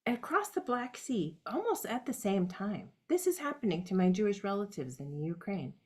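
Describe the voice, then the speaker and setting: A woman's voice: medium pitch, very animated, moderate pace, slightly rough. A woman performing a reflective autobiographical monologue on stage, speaking directly to her grandfather.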